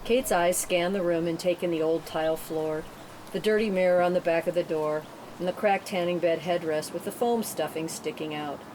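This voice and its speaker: A woman's voice: lowish voice, fairly even intonation, normal speed, a little mellow. A woman reading stage directions as narrator at a script table read, in a matter-of-fact way. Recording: clearly noisy room recording.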